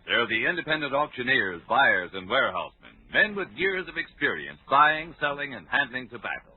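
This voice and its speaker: Animated American radio pitchman, thin old recording. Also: fairly quick, breathy edge, narrow, tinny audio. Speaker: commercial announcer. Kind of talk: 1940s radio ad read.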